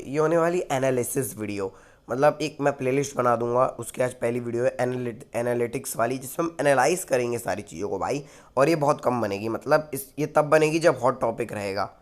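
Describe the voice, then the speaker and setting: A hoarse man in casual vlog commentary, talking close to the mic and chatty. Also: medium pitch. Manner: chatty, says "bhai" often. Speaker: wrestling news channel host.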